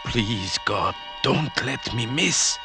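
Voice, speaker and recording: An actor playing a grave, uneasy hunter who tells his story in a measured, dramatic 1940s radio style. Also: medium pitch, very animated. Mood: tense dread. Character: grave, uneasy man narrating his night hunts. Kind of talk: 1940s radio drama acting, suspenseful narration. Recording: vintage 1940s radio broadcast with music.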